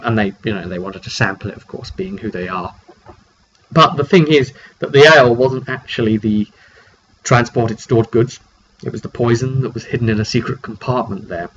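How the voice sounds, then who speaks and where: A man giving an informal, dramatic recap of a tabletop roleplaying session as game master, telling it like an animated story. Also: mid-range voice. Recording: dull lo-fi mic with background noise.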